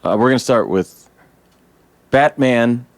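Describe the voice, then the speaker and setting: Upbeat, slightly hoarse male podcast host. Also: mid-range voice, expressive, takes it slowly, no echo. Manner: upbeat, jokey. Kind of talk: casual podcast chat.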